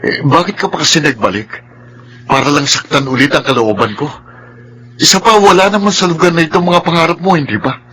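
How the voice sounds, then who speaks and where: An actor playing a hurt, resentful young village sweetheart in a Filipino radio drama. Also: male voice, on the high side, a little fast, sharp tone, breathy edge. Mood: hurt, curt.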